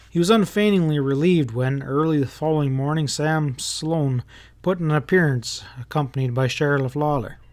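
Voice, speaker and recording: Mid-pitched man narrating a western. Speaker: audiobook reader. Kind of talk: dramatic narration of a western novel.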